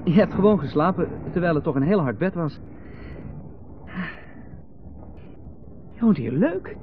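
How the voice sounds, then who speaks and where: A man's voice: on the high side, lively intonation, a little fast, over music. An actor playing a nervous, hesitant man who rambles and repeats himself, in 1970s radio drama style. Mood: forced cheer. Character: Joris, nervous chattering man visiting his estranged brother. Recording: dull, noisy 1970s radio recording.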